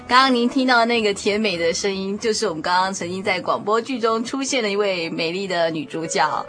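A woman's voice: medium pitch, brisk, fairly bright tone, soft background music. A woman, hosting a radio segment and interviewing her guests. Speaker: radio show host.

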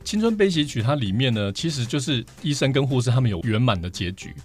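A man's voice: medium pitch, animated, quick pace. A man with a Taiwanese Mandarin accent explaining things as a guest in a casual podcast conversation. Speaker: guest, vinyl record collector.

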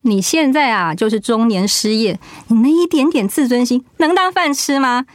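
A woman's voice: a little high, moderate pace, no echo, clean studio sound, natural voice. A woman acting a proud, stubborn middle-aged wife and mother in a radio drama, blunt and sardonic. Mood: exasperated.

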